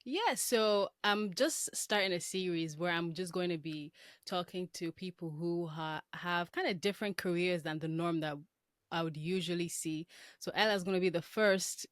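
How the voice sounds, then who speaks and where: Young woman podcast host, slightly low voice, dry sound, informal and chatty. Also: moderate pace, clear, resonant tone, dry recording. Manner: informal, frequent "like". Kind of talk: casual podcast chat.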